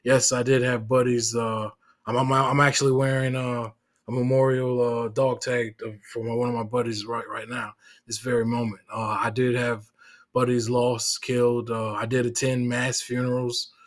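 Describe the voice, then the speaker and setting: A man who is a Marine veteran, a guest in a casual interview, speaking in a measured way with many pauses and restarts. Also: mid-range voice, level tone.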